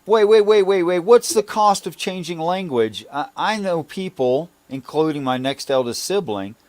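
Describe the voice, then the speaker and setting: A man's voice: fairly high pitch, lively intonation, normal speed, darkish tone, no room sound. A man in casual conversational debate as a participant in a talk show.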